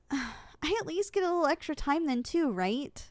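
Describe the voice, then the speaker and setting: High-pitched, animated, indignant young succubus played by a woman. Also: a little slow, dry recording. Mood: grudging, indignant. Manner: indignant, sputtering, blunt. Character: possessive, flustered young succubus student, indignant and competitive. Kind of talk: ASMR audio roleplay acting.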